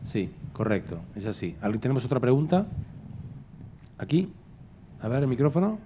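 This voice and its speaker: A man moderating a live panel, talking conversationally and rephrasing haltingly as he thinks aloud. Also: mid-range voice, big pitch swings, background noise. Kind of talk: conversational question-and-answer on stage.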